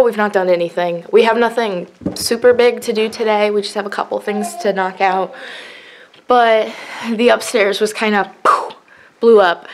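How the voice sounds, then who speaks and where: A young woman chatting casually and ramblingly to camera at home, in the manner of a family vlogger. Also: medium pitch. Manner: rambling, chatty self-narration. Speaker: family vlogger, mother of a baby. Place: at home, indoors. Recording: clearly noisy home recording with clicks.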